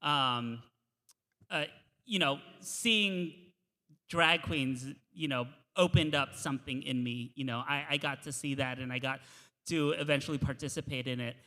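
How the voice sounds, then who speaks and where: Bright-voiced man panelist, earnest. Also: medium pitch, a little slow. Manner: earnest and reflective. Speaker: drag performer on a panel. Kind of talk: panel discussion, personal anecdote. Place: university event hall. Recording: reverberant hall.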